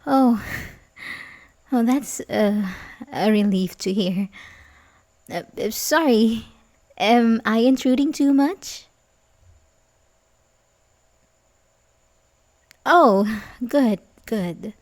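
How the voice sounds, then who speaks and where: A woman plays a young babysitter in an intimate ASMR roleplay, sweet on the surface and quietly obsessive underneath. Her voice is on the high side and she takes her time, halting and giggling softly as she coaxes the listener. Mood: concerned, hesitant. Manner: hesitant, halting, with little giggles. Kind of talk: ASMR roleplay acting, intimate one-sided dialogue.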